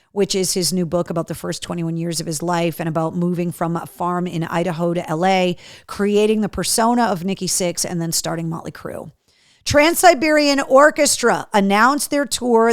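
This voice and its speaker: A middle-aged woman, radio and podcast host, running through concert and event announcements in an upbeat, chatty way with frequent ums. Her voice has grown deeper and worn-in from years of talking. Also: no echo, studio quality. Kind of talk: casual live-show announcements.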